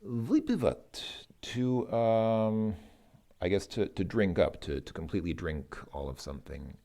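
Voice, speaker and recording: A man talking through language lesson exercises informally, thinking aloud in a hesitant, halting, dry way. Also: lowish voice, expressive, dark tone.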